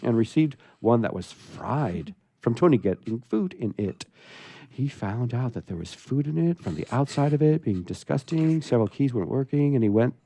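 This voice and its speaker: A mellow male voice, dry and close, reads a comic story aloud as a radio host would. He slips playful asides into the reading and sounds amused by the material. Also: medium pitch, no echo.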